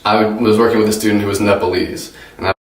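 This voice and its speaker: Lowish-voiced young man, hesitant presenting. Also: level tone, fast. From United States. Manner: hesitant, many ums and self-corrections. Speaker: student tutor presenting. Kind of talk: informal class presentation. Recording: echoey room, voice from a distance.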